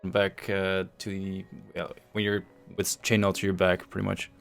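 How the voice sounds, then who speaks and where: Male stream host, deep smooth tone, measured casual explainer, faint music bed. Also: completely flat delivery, a bit bright. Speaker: host and project lead on a developer livestream. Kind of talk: casual show-and-tell commentary over game screenshots.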